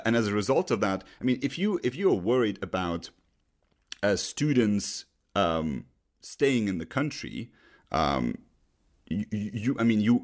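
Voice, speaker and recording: Deep-voiced man, halting podcast talk. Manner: halting, many ums and uhs, restarts. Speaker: podcast host. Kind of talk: casual discussion.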